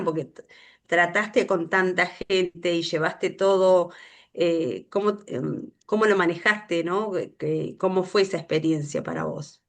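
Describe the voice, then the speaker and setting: A woman taking part in a workshop, asking a question hesitantly over a video call. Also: lowish voice. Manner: hesitant, with many fillers. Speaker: workshop participant.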